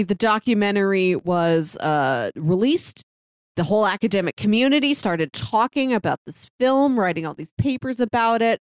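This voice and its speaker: A woman's voice: mid-range voice, clear, resonant tone, no room sound. A woman co-hosting a podcast, explaining things in a casual, informal way as in a book club chat. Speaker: podcast co-host.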